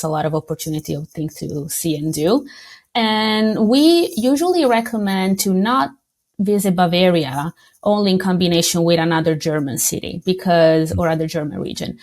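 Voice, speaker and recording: A woman from Italy with an Italian accent, answering interview questions as a tourism representative in a measured, explanatory way. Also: fairly low pitch, a little mellow. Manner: explanatory, measured, with small slips.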